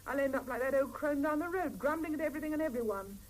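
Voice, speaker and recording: A woman's voice: sits high, lively intonation, fast talker. An actress playing a weary, exasperated Soviet working mother with dry, sardonic delivery in a 1970s radio drama. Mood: indignant, hurt. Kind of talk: 1970s radio drama, dialogue and intimate first-person narration. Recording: hissy 1975 radio drama cassette, mono.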